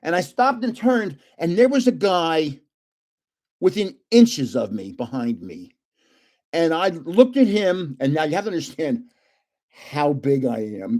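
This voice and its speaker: Animated older man spinning stories. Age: 70s. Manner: animated, laughs often, rambling anecdotes; self-described booming voice when yelling. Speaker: livestream host opening trading cards. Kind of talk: casual storytelling chat.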